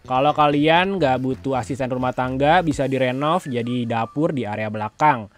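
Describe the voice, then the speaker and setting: Guy from around doing a chatty property walkthrough, middle pitch, music in the background. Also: normal speed. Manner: informal, chatty, addresses viewers directly. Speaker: property tour host. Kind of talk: casual walkthrough narration of a house tour.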